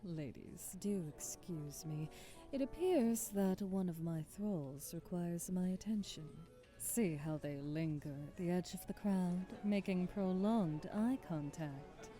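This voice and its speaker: A woman's voice: lowish voice, slow pace, warm tone, faint music behind. A woman playing a haughty, aristocratic vampire hostess in an ASMR audio drama, speaking in a formal, theatrical and commanding way. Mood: smug, gracious.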